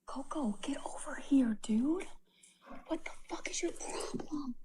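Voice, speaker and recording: A woman's voice: high-pitched, lively intonation, measured pace, dark tone. A young woman in a casual vlog who often drops to a whisper, talking to her dog and swearing casually.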